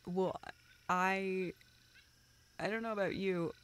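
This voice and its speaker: A woman acting a tearful, self-deprecating young woman in an intimate audio roleplay, halting and rambling as she talks to a close friend. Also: mid-range voice, fairly even intonation, smooth, lightly acted. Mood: wistful, rambling. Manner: halting, rambling, soft laughs and whispered asides.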